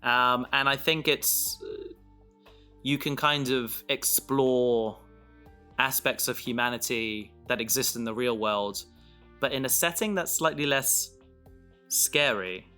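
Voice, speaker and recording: Guy podcaster chatting casually, bright forward voice, kinda halting, faint guitar music behind. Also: mid-range voice, clear, resonant tone. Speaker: podcast creator and host. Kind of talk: casual reflective chat.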